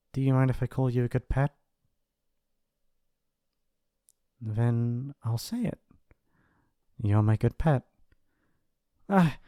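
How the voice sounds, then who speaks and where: A man playing a shy, gently possessive young mafia heir in an intimate ASMR roleplay, speaking hesitantly and affectionately. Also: medium pitch, no echo, studio quality, close to an everyday voice. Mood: bashful, doting. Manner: hesitant, earnest, stammering, affectionate. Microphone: close studio mic, dry.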